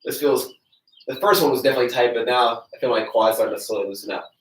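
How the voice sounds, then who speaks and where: A man's voice: mid-range voice, quick pace, a bit bright. A man in his thirties with a stuffy, congested nose, casually coaching a live workout while demonstrating stretches in a garage gym. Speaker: fitness coach. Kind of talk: live-streamed workout instruction. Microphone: camera mic from across the room. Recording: echoey garage, voice from a distance.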